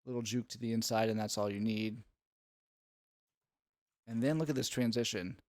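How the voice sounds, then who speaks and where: A man's voice: on the low side, fairly even intonation, normal speed, no echo. Guy doing sports breakdown commentary, explains the plays like a coach, dry close recording no room sound. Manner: instructive, explanatory. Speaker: sports analyst. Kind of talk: analytical play-by-play commentary.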